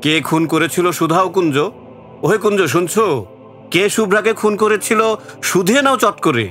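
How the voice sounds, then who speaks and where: An actor playing an anxious, insistent village onlooker in an audio drama. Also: male voice, high-pitched, normal speed, close to an everyday voice. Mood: urgent, curious. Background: music.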